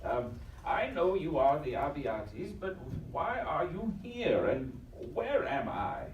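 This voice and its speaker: A man playing an older ship's doctor in a live audio drama, formal, halting and stammering. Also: a little high, sing-song, muffled recording, a little hiss or hum. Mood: flustered. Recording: echoey live stage, distant, music behind.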